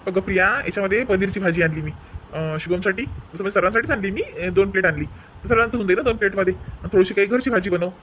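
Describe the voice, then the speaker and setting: A man's voice: high-pitched, fast, a bit bright, dull audio, a little hiss or hum. A man voicing an easygoing, teasing husband in a family comedy cartoon. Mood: explaining. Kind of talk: animated family comedy dialogue.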